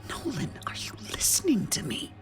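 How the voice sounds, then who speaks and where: An actress playing a frightened, defiant wife in an audio drama, speaking urgently. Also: female voice, normal speed, whispered, faint music behind, close to an everyday voice. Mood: urgent, hushed. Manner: urgent, hissed.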